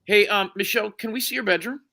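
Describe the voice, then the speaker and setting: A man in his forties, teasing and crude, chatting on a livestream with loud outbursts. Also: high-pitched, quick pace. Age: 40s. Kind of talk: crude joking chat on a livestream.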